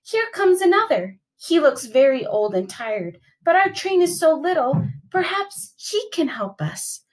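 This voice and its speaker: A woman voicing a toy clown, upbeat, eager, high voice, storybook. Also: very animated, a little slow. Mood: cheerful. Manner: bright, eager, raised voice.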